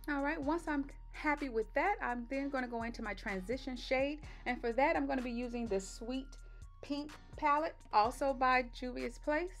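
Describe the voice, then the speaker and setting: A woman with a slightly raspy voice doing a relaxed step-by-step voice-over for her makeup tutorial. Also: high voice, normal speed, soft background music. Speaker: beauty vlogger. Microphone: voice-over mic.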